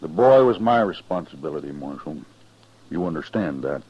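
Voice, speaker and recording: A man's voice: rumbling low, lively intonation, normal speed, breathy edge, no room sound, heavily acted. An American actor playing a stern, terse, grieving rancher father in an old radio western. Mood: grimly resolved. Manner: terse, commanding, grim. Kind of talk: 1950s radio western acting. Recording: dull vintage radio recording.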